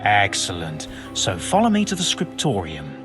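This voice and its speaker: A learned monk physician from a video game, whose pitch swings expressively as he lectures. He is pompous, bookish and a little absent-minded, yet well-meaning, with faint music underneath. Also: huge pitch swings, normal speed. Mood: scholarly, brisk. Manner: bookish, wordy and formal. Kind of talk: video game cutscene acting.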